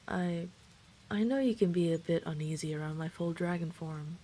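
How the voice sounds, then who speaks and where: Woman acting as kind dragon friend, lowish warm voice, gentle reassurance, comfort roleplay, faint background noise. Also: moderate pace, close to an everyday voice. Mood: gentle, reassuring. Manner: soft-spoken, reassuring, hesitant and considerate. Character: gentle, caring female dragon friend in humanoid form. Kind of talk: roleplay audio drama, intimate comfort scene.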